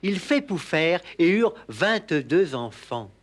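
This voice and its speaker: Comedy sketch: pompous lisping poetry reader, high pitch, slow, solemn delivery. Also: male voice, sing-song. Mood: dramatic storytelling. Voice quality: muddled sibilants, lisp-like impediment. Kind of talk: theatrical comic poetry recital.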